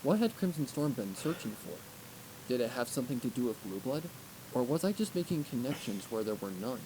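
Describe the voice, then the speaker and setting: A man's voice: medium pitch, big pitch swings, brisk. A man reading first-person fiction aloud as an audiobook narrator. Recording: clearly noisy recording.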